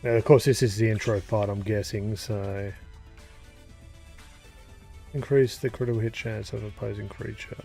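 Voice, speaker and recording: A man casually commenting as he plays through a game, thinking aloud with pauses and fillers. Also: lowish voice, steady tone, vocal fry, dark tone, faint music behind. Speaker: gaming YouTuber. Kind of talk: casual let's-play commentary.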